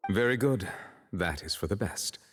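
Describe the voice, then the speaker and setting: A man's voice: lowish voice, animated, normal speed, a bit bright, faint music behind. A man voicing a composed, formal male official in a video game who speaks precisely and politely. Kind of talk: video game cutscene dialogue. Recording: reverby game audio with faint noise.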